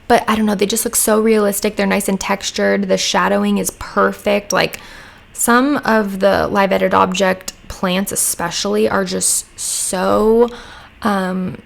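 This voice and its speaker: A young woman with a hoarse voice, chatting casually as she narrates a home-building game. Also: medium pitch. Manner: rambling, chatty, lots of "like" fillers. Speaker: Sims speed build creator. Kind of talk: casual voice-over chat. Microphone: home mic voice-over.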